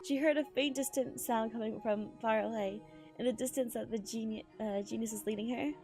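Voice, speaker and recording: A woman with a fairly high voice streams a game, reading and commenting as she goes. She is excitable and tends to exclaim or trip over lines. Faint music plays behind her. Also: brisk. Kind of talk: live reading and reaction commentary of a game story.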